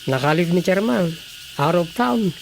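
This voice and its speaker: A man's voice: high voice, expressive, normal speed, gravelly, noisy background. An actor playing a matter-of-fact village councilman in a radio drama. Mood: businesslike. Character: male village councilman, acting officer in charge. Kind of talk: Ilocano radio drama acting.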